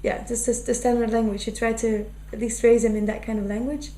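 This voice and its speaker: A woman's voice: a little high, flat delivery, fast, mellow. A young woman from Flanders with a Flemish accent, talking thoughtfully in an interview and often restarting her sentences. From Flanders, Belgium. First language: Dutch. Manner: thoughtful, full of fillers and restarts. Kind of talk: casual interview. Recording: echoey room with faint hum.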